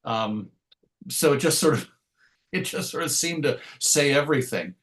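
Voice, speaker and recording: A man's voice: mid-range voice, animated, a little slow, a bit bright. Wry middle-aged man reminiscing. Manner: wry, self-deprecating. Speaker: interview guest. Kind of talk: conversational interview answers, reminiscing.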